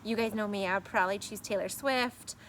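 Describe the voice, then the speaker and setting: A woman speaking to young children outdoors like a teacher, with casual chat and expressive storybook reading. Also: medium pitch, brisk, poor audio. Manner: addresses young children directly. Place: outdoors in a backyard. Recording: some background noise outdoors.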